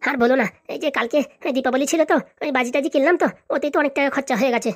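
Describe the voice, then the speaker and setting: A woman's voice: high voice, rapid-fire, close to an everyday voice. A woman voicing a broke, pleading, grumbling husband in a comedy cartoon. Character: broke, wheedling husband begging his wife for money.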